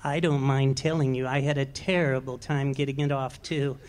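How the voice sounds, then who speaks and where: An actor playing a meek, henpecked middle-aged husband in a live radio play, speaking softly and hesitantly. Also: male voice, mid-range voice, steady tone, moderate pace, a little hiss or hum, close to an everyday voice. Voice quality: soft, mild and hesitant. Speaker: amateur actor.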